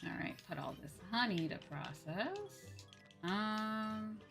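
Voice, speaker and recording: A woman's voice: medium pitch, expressive, slow pace, vocal fry, music in the background. A woman livestreaming a game with casual commentary, chatting to viewers and rambling as she thinks aloud. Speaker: livestreamer playing a farming game.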